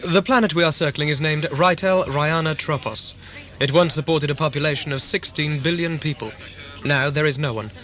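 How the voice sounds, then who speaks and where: A man's voice: fairly high pitch, moderate pace, fairly bright tone, faint music behind, close to an everyday voice. A man playing a stern, calculating corporate starship commander in an audio drama, formal, clipped and authoritative. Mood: grave, formal.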